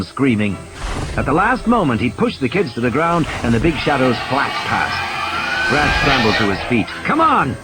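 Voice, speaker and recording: A man's voice: mid-range voice, poor audio. A man giving a dramatic scripted narration of a story as an audiobook narrator. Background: music and sound effects.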